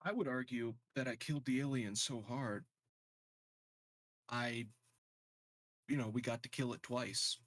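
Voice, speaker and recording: Male tabletop player, average pitch, loose and conversational. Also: unhurried, long pauses, fairly bright tone, no echo. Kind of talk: casual game chat.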